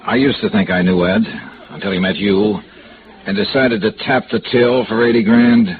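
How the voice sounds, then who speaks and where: An American actor playing a wisecracking, world-weary insurance investigator in a vintage radio noir drama, with clipped, dry delivery. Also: male voice, on the low side, fairly even intonation, normal speed, background noise, lightly acted. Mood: sardonic, skeptical. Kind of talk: old-time radio noir acting and first-person narration. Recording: muffled vintage radio broadcast with music.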